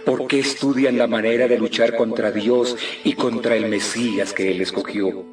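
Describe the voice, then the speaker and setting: An actor playing a solemn, reverent male apostle praying aloud in a dramatized audio Bible, measured and prayerful. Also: mid-range voice, fairly even intonation, close to an everyday voice. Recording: echoey, distant, music bed behind.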